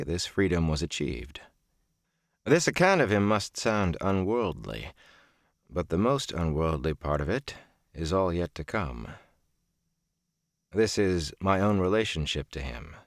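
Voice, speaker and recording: A man reads a first-person literary audiobook in measured, deliberate phrases. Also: low-pitched, no room sound, clean studio sound. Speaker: audiobook narrator.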